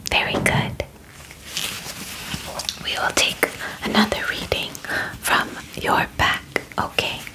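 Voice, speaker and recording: Young woman, breathy whisper, extremely slow pace, calm clinical phrasing, close-mic ASMR roleplay. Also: poor audio. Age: young adult. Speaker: ASMR performer. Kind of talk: ASMR alien examination roleplay. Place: small bedroom, indoors. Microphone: close microphone, out of frame.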